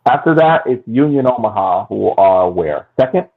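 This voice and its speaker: A man's voice: lowish voice, animated, normal speed, gravelly. A man co-hosting a sports podcast, arguing his points emphatically. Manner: emphatic, argumentative. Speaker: podcast co-host. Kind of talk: casual chat. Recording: tinny phone-like line.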